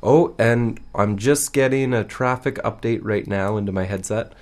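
A man co-hosting a casual, humorous radio show in a halting, mock-serious way. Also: fairly low pitch.

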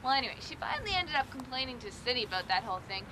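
A woman's voice: high-pitched, sing-song, rapid-fire, noisy background. A woman playing a chatty, squeamish, teasing and dramatic young woman in a comedy drama. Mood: gossipy. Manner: dramatic, rambling, exclamatory. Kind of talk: two-hander comedy drama dialogue.

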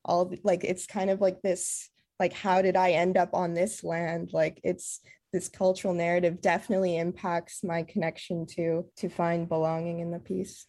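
Woman from Canada, interview guest, earnest and reflective, lowish voice, lots of "like". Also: little pitch movement, moderate pace, mellow. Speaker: theatre artist, interview guest. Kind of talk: casual interview talk.

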